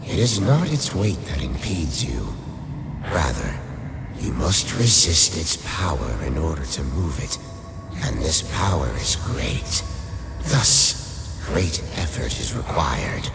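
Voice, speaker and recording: A man playing a commanding undead lich, a skeletal sorcerer, in a dark fantasy radio play, speaking gravely and formally. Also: a bass voice, very animated, slow pace, full character voice. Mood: stern, instructive. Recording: cavernous echoing reverb, music underneath.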